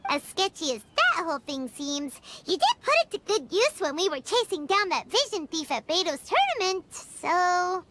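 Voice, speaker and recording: Tiny game sidekick, super high and jumpy, chatters a lot. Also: female voice, very animated, moderate pace, few pauses, noisy background. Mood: curious. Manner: high, bouncy, refers to herself in third person. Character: tiny chatty floating fairy-like companion girl. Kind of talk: video game dialogue. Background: loud game music.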